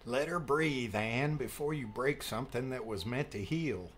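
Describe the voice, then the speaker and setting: A man's voice: mid-range voice, moderate pace, a bit bright, a little hiss or hum, close to an everyday voice. A man playing an ailing but jovial older father with a wheezy voice in an audiobook drama. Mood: gently chiding. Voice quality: wheezy, prone to coughing.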